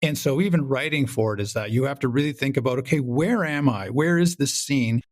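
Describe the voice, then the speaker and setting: A man's voice: mid-range voice, fairly quick. A man speaking as an interview guest, casual and reflective, thinking aloud and voicing his own imagined questions. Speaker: guest, audio drama creator.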